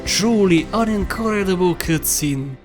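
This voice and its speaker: A man's voice: sits high, animated, measured pace, soft background music. A man hosting a casual video game countdown, commenting in an informal, jokey and emphatic way. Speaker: video host.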